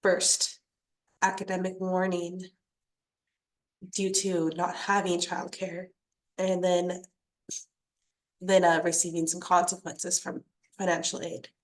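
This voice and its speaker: Uma estudante apresentando um trabalho numa videochamada, de forma simpática e direta, contando a própria experiência. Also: female voice, lowish voice, little pitch movement, takes it slowly, long pauses, poor audio. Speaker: student presenter. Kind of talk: presentation on a video call.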